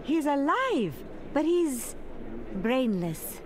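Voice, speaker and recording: A woman voicing a brisk, concerned secret agent in a video game. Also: high voice, sing-song, noisy background, full character voice. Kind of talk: animated video game acting.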